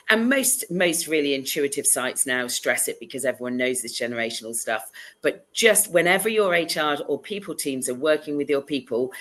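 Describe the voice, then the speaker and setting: A woman with a cold, sniffling as she talks, answers questions as a consultant on a webinar panel over a video call. Her voice is very low. She speaks in an animated, chatty way and pokes fun at herself. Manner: animated, chatty, self-deprecating.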